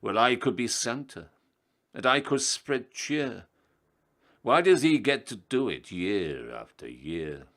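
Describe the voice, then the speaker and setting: A man's voice: mid-range voice, sing-song, slow pace, fairly bright tone, pitched above the performer's own voice, heavily acted. A man reading a tired, bored, scheming skeleton from a storybook, declaiming grandly in rhyme. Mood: envious, indignant. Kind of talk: storybook verse character reading.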